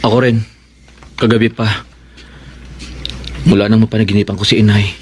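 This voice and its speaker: An actor playing a grieving, guilt-ridden grown son in a Filipino radio drama, earnest and emotional, with a Filipino accent. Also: male voice, lowish voice, flat delivery, normal speed, lightly acted. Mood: subdued. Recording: noisy old radio drama recording.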